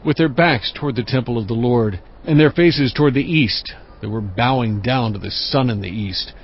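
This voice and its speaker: A man narrating a dramatized scripture reading in a measured, steady storytelling way. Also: medium pitch, husky. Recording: dull lo-fi audio with music bed. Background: music.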